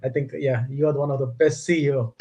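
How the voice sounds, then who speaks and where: A man's voice: mid-range voice, fairly even intonation, fast, noisy background. A man co-hosting an online group call in casual chat, often repeating his words. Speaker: co-host.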